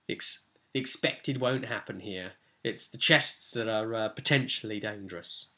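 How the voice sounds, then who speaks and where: A man's voice: fairly low pitch, normal speed, bright, forceful tone. A British man giving casual, unscripted commentary on a tabletop role-playing session as game master, in a rambling, colloquial way.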